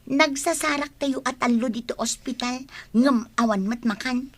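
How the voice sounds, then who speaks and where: Radio drama, woman doing a fairly high, whiny, grumbling daughter. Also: normal speed.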